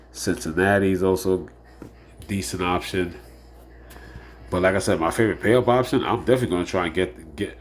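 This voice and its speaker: A man with a very low voice and a stuffy, nasal sound from being a little under the weather. He rambles loosely through his picks, circling back on the same phrases and filling gaps with "uh" and "like I said." A faint hum sits behind him. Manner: rambling, repeats phrases, many fillers. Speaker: fantasy sports show host. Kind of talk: casual solo picks preview.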